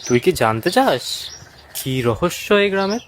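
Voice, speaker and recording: A man's voice: high-pitched, sing-song, moderate pace, slight background noise. A man playing a bold, inquisitive young investigator in a horror cartoon, earnest in delivery. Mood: confident.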